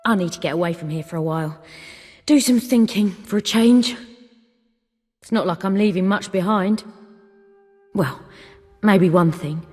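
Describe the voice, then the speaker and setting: Casting: a young warrior monk for a fantasy game, lowish husky voice, blunt, earnest, reverberant with music. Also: female voice, unhurried, long pauses, a little mellow. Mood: wistful. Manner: plain-spoken, earnest. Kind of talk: dramatic fantasy game acting. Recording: long reverb, music behind.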